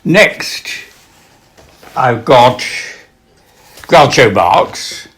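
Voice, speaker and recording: An older man reading literary pieces aloud and introducing them informally, with measured delivery and expressive emphasis. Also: mid-range voice. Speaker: reader of poetry and quotations.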